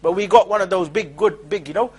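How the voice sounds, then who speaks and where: A man giving an informal street-style lecture as a preacher, rapid and emphatic with mocking asides. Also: high-pitched, darkish tone, husky.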